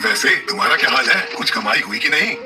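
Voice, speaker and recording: A man's voice: mid-range voice, animated, quick pace, distant mic, over music, close to an everyday voice. A man voicing a smug, condescending, money-minded crow shopkeeper in a Hindi cartoon. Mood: breezy. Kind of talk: Hindi moral-story cartoon dubbing.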